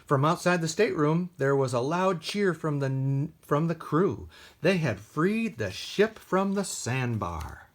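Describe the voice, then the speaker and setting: Animated man reading a children's story. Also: fairly high pitch, unhurried. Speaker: library storytime host and reader. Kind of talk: expressive read-aloud storytelling for children.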